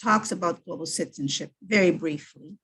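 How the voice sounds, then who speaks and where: Middle-aged woman from Brazil, husky, thoughtful guest who restarts her sentences. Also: on the low side, fairly quick, a bit bright. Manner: thoughtful, with frequent restarts and fillers. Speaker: guest, French teacher and PhD candidate. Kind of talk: casual interview chat.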